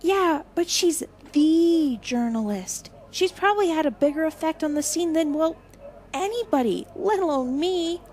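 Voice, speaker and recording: A woman's voice: high voice, animated, measured pace, a little mellow. A woman acting a shy, self-doubting, sweet young seer in an audio drama, timid and hesitant. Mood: nervous.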